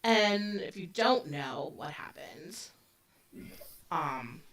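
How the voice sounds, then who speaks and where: A woman's voice: lowish voice, lively intonation, drawn-out words, fairly bright tone. A woman in her forties co-hosting a casual true-crime chat, talking loosely with lots of fillers. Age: 40s. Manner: loose and conversational, with frequent fillers. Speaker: podcast co-host. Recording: voice a bit distant from the mic.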